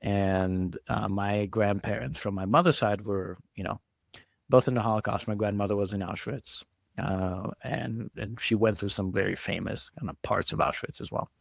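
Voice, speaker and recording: Low, creaky-voiced man. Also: fairly even intonation, moderate pace, dry recording, clean studio sound. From Israel. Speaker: podcast guest, entrepreneur. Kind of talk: reflective conversational interview answers.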